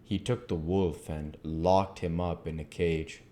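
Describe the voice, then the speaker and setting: A man reading a classic novel aloud as an amateur narrator, measured, with the odd stumble. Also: low voice, steady tone, mellow. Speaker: amateur book reader.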